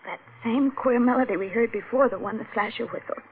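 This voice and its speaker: An actress playing a frightened, shaken woman, halting and melodramatic, in a 1940s radio drama. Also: a little high, phone-quality sound, natural voice. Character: frightened young wife or sweetheart, shaken and breathless. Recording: band-limited 1940s radio recording, faint noise.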